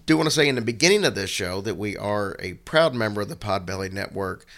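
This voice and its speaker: Male podcast co-host, low and gravelly, hoarse with a cold, earnest and rambling. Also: lively intonation, fast talker, fairly bright tone. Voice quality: raspy. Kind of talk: casual chat.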